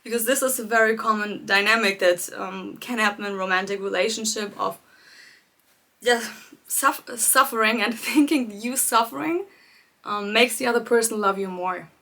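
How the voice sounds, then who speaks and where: A woman coaching viewers in a live-streamed talk, speaking gently and reflectively, addressing them directly and laughing lightly between her points. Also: mid-range voice, moderate pace. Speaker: life coach hosting a live challenge.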